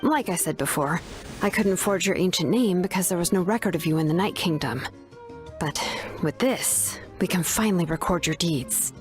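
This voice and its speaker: A woman's voice: lowish voice, normal speed, natural voice. A woman playing a kind, resolute young woman in a fantasy video game, speaking in a considerate, assured way. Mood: reassuring. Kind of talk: scripted video game voice acting. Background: background music.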